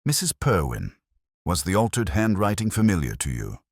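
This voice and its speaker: A man voicing a polite, unflappable police inspector in a storybook mystery, gentle and precise. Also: low voice, very animated, normal speed, no room sound, clean studio sound, heavily acted. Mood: calm, inquiring. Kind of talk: storybook character dialogue.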